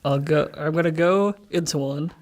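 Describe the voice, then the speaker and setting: A man with a somewhat high voice plays a tabletop game. He talks casually and tentatively, working out his choices out loud. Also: normal speed.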